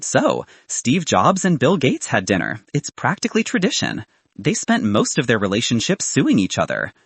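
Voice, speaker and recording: Audiobook role: confident young woman entrepreneur read by a man. Dry banter, animated, husky edge. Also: mid-range voice, normal speed, dry recording, heavily acted. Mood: bold, playful. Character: confident, teasing young woman, a sharp student founder.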